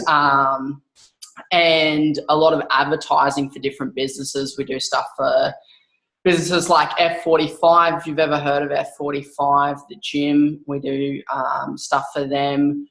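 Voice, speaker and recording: Gravelly young man. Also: on the high side, a bit bright, low-quality recording. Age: 20s. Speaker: social media marketing presenter. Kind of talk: casual live stream chat.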